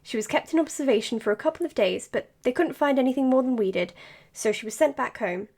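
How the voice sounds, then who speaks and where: An earnest woman doctor in an audio drama podcast. Her voice sits a little high. She speaks formally, with a hesitant, self-conscious edge. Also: fast, a little mellow. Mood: composed, formal. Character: earnest, slightly awkward woman doctor recording podcast updates.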